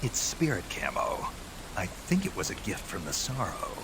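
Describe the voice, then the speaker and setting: Video game soldier, male: low, gravelly, terse, stoic deadpan, over clear rain noise. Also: normal speed.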